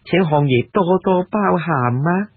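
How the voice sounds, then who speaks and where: A man's voice: sits high, animated, measured pace, husky, no echo, voice pushed up, heavily acted. A man voicing a fawning, coquettish middle-aged brothel madam in a storytelling recording, gushing and wheedling. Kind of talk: Cantonese audiobook storytelling. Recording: lo-fi, narrow phone-like radio sound.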